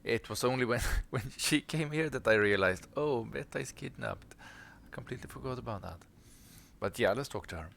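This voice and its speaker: A man's voice: medium pitch, animated, normal speed, vocal fry, fairly bright tone, slight background noise. A man giving casual let's play commentary in a musing, conversational way. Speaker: gameplay commentator.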